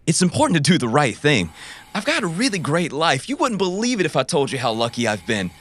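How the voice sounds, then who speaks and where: A fragile, befuddled mercenary father, acted by a man in an audio drama. His pitch lurches extremely widely as he speaks with faltering, fervent, deluded happiness. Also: wildly swooping pitch, brisk, a bit bright, exaggerated voice. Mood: dazed elation.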